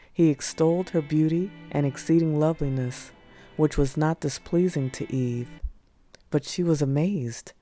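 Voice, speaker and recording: A woman narrating religious prose as an audiobook, in a measured, solemn read-aloud delivery. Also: low-pitched, mellow. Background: music.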